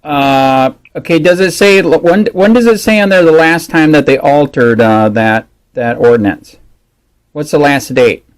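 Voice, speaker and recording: Higher-pitched man, halting and insistent. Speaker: caller disputing a permit rule. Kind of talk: phone conversation.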